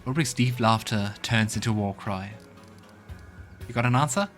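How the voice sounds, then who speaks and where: A man with a lowish voice gives casual gameplay commentary. He thinks aloud and reads the on-screen story text as he goes, with game music faintly behind him. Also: moderate pace, fairly bright tone. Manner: musing aloud to himself. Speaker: let's play host.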